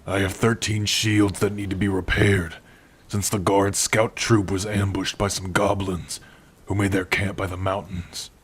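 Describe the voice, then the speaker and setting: A man playing a gentle, protective orc blacksmith in an ASMR roleplay, speaking tenderly and without hurry to a beloved listener. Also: deep voice, flat delivery. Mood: thoughtful. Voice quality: husky. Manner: unhurried, tender, with soft sighs and hums. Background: rain.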